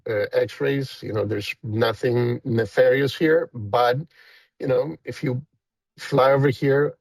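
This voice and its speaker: A man's voice: medium pitch, gravelly, darkish tone, no echo, rough recording. Man with a Costa Rican accent. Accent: Costa Rican Spanish. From Costa Rica. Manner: rambling, many fillers and restarts. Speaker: guest, company founder. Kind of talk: casual interview chat.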